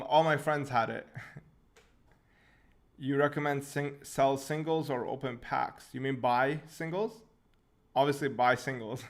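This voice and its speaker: A relaxed young man hosting a live chat stream, answering questions off the cuff. He talks at a middling pitch, laughs often, and repeats words as he starts sentences. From Syria, raised in Canada.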